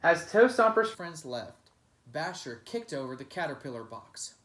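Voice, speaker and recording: A man's voice: on the high side, sing-song, a little slow, fairly bright tone. A man reading a children's picture book aloud as a teacher, with dramatic, emphatic narration. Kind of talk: expressive storybook narration.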